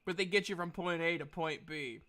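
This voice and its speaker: A man with a notably high, crisp and clear voice runs a tabletop game session. He chats casually as game master, playful and animated, with easy swearing. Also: fairly quick.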